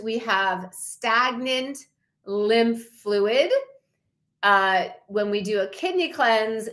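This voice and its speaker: A woman's voice: mid-range voice, bright, forceful tone. A woman in her fifties presenting slides to a challenge group as a wellness coach, talking in an enthusiastic, promotional way. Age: 50s. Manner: enthusiastic, promotional, slow and deliberate.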